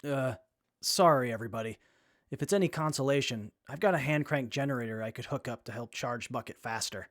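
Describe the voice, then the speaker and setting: A man's voice: mid-range voice, a little fast, close to an everyday voice. Guy doing a young mechanic kid in an audio drama, earnest, keen, bit hesitant, medium voice. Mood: sheepish. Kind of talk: post-apocalyptic audio drama.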